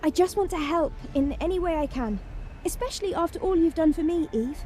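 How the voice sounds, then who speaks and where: An actress playing a young, loyal, eager girlish sidekick in a video game, earnest and expressive, slightly distant under music. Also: very high voice, a little fast, a little hiss or hum. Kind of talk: dramatic video game acting. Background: prominent music.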